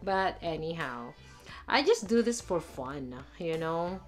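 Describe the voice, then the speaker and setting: A woman's voice: lowish voice, sing-song, takes it slowly, soft background music. A woman in her forties from the Philippines with a strong Filipino accent, chatting casually and rambling to a webcam in her living room. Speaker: vlogger. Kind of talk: casual talk to camera. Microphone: webcam or phone at arm's length.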